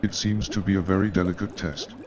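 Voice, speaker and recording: A man playing a polite, reserved gentleman in a staged radio play, measured and formal in his speech. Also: low-pitched, fairly even intonation, crowd noise, natural voice. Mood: politely impressed. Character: polite, reserved gentleman with shaken nerves, seeking lodgings. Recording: muffled and noisy, watery background sound.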